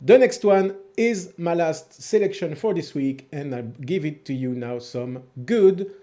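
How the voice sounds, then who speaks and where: A man's voice: a little high, animated, a little slow, mellow. A man with a French accent, a native French speaker, hosting a casual review video and talking to viewers in an informal, enthusiastic way. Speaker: host of a music review video channel.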